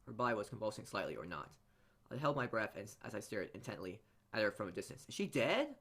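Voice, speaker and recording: A man with a fairly low voice narrates a visual novel for a let's play. He reads dramatically but haltingly, often tripping on words and starting them again. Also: sing-song, gravelly, fairly bright tone. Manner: halting reading, often stumbling and repeating words. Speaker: gamer reading a visual novel aloud.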